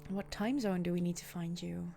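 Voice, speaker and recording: A woman's voice: on the low side, fairly even intonation, normal speed, vocal fry, warm tone, faint music behind. A young woman with a Dutch accent, streaming a game and chatting casually with her viewers, often restarting sentences. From Netherlands. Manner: chatty, answers chat, frequent laughs and restarts. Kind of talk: casual chat with viewers while gaming.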